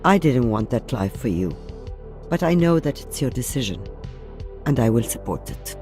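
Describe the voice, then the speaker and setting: An actress playing a weary, protective elderly mother and veteran sniper in a video game, with a dry, measured manner. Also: a bass voice, sing-song, a little mellow, soft background music. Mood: tender, earnest. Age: older.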